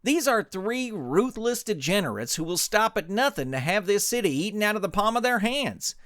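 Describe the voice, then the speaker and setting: A man's voice: high voice, sing-song, normal speed, a bit bright, no room sound, studio quality, pitched above the performer's own voice. A man voicing a tough, folksy cowboy superhero with drawling ranch-hand talk. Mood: condescending, resolute.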